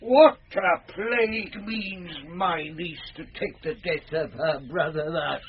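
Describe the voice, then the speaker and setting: An actor with a British accent playing a boozy, blustering, flippant knight in a radio Shakespeare comedy. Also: male voice, high-pitched, steady tone, takes it slowly. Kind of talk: 1970s BBC radio Shakespeare comedy acting. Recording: dull, noisy vintage radio recording.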